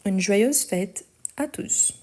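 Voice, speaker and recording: A woman teaching French in a live lesson, dictating each sentence slowly and patiently explaining the conjugation rules. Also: mid-range voice, animated, a little mellow. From Portugal. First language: Portuguese.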